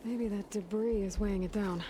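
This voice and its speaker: A woman voicing a determined young hunter in a video game, muttering to herself in a hushed voice. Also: medium pitch, fairly even intonation, moderate pace, noisy background, lightly acted. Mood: focused.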